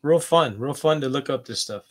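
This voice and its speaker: A man's voice: medium pitch, fairly bright tone. A man co-hosting a podcast, easygoing and agreeable in casual chat over a remote call. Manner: easygoing, agreeable, quick to affirm. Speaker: podcast co-host.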